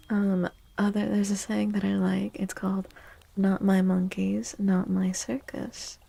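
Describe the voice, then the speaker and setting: A woman speaks in a soft ASMR style, unhurried and low in pitch, with a creaky edge to her hushed voice. She often drops to a near-whisper as she chats to her stream. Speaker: ASMR streamer, tarot reader. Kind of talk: soft-spoken ASMR live chat.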